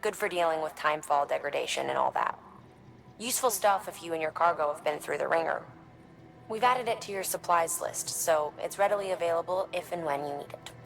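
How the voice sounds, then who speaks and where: A helpful logistics operator in a video game, explaining things in a casual, practical way. Her voice has plenty of vocal fry, over soft background music. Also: sits low, fairly quick, rough recording. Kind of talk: video game voice acting, item briefing.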